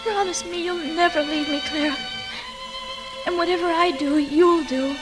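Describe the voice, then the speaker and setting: Very high-voiced clinging little girl. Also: moderate pace, music in the background. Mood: pleading, clinging. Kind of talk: 1940s radio drama acting. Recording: vintage radio recording.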